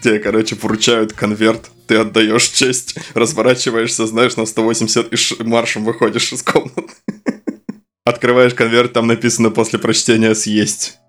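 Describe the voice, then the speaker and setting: Low-voiced man telling playful game jokes. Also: little pitch movement, moderate pace, a bit bright. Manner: playful storytelling, laughs while talking. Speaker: podcast co-host, anime expert. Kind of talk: casual chat about games.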